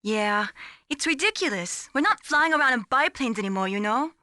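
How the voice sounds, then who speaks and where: An actress playing an earnest, gently teasing young female radar technician in a video game. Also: sits high, animated, moderate pace, no echo, studio quality. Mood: candid. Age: young adult. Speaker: mission support operator. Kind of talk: scripted video game voice acting.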